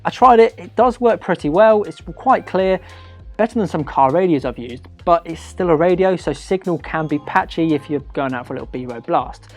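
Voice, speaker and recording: A British man with a slightly high voice presents a product review over faint background music. His delivery is conversational and chatty, partly scripted, and he leans on key words for emphasis. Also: expressive, normal speed, a little mellow.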